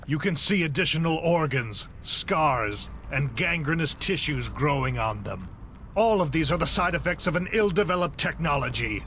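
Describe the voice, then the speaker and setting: An actor playing a grieving, zealous AI researcher turned hostage-taker in a video game cutscene, delivering grandiose speeches. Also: male voice, a little high, lively intonation, moderate pace, fairly bright tone, slight background noise. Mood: defiant, bitter. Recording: music behind the voice.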